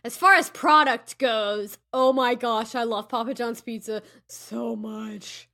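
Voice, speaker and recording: A woman's voice: on the high side, steady tone, takes it slowly, vocal fry, bright, forceful tone. A woman chatting casually and enthusiastically as a podcast host, with emphatic delivery. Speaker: podcast host and player in a tabletop game. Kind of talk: casual banter on a tabletop game podcast.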